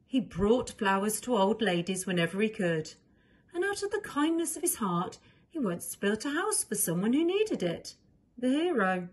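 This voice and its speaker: A woman narrating a children's storybook as a reader, with expressive delivery. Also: fairly high pitch, moderate pace.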